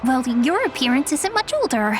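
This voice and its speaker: A woman's voice: sits high, sing-song, moderate pace, music in the background. An actress playing a friendly young woman who guides and explains in a chatty, helpful way in a video game cutscene. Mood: light, casual.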